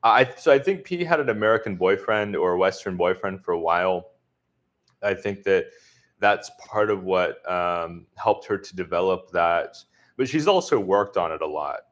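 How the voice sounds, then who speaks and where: A man from the southern US answering viewers' comments in a relaxed livestream chat, speaking with a deliberately neutral accent and many filler words. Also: deep voice. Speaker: expat vlogger answering live chat. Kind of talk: casual livestream Q&A.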